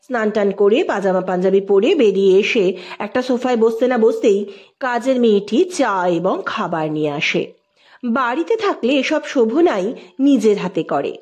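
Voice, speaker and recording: A woman's voice: fairly high pitch, animated, moderate pace, a little mellow. A woman reading literary fiction aloud as a scripted, dramatic audio story narration.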